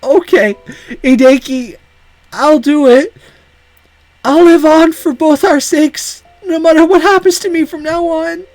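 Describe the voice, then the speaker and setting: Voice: high-pitched, a little slow, darkish tone, no room sound, soft background music, voice pushed up, poor audio. A performer voicing a tearful, earnest young woman in a visual novel, pleading with emotion. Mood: tearful resolve. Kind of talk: visual novel character voice acting.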